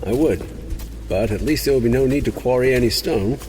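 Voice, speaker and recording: A man voicing a learned, dry and grim cleric who explains things deliberately in a dark fantasy audio drama. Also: medium pitch, pitched above the performer's own voice, lightly acted. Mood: thoughtful. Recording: music under the voice.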